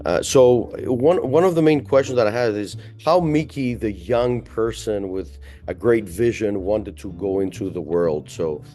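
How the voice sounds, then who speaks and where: A man with a lowish voice hosts an interview in a formal, courteous way. His questions wander and restart, padded with fillers. Soft music sits faintly in the background. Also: expressive, a little slow. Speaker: interviewer and show host. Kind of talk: web series interview.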